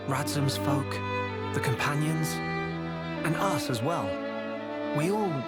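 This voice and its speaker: Earnest young game hero. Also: male voice, on the high side, lively intonation, slow, over loud music. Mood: reflective, determined. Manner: sincere, reflective. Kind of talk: dramatic video game voice acting.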